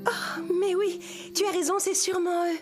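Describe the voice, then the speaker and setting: A woman voicing a sweet, caring young princess with a gentle, concerned manner in a children's cartoon. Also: very high-pitched, level tone, moderate pace, loud music behind the voice, pitched above the performer's own voice. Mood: relieved.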